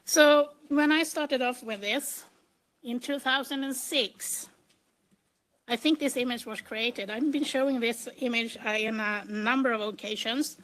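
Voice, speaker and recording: Middle-aged swedish lady giving a conference talk, accent, fairly high voice, plain-spoken and informal. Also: steady tone, a little slow, fairly bright tone, low-quality recording. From Sweden. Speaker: conference speaker, computer engineer. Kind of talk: conference presentation with slides.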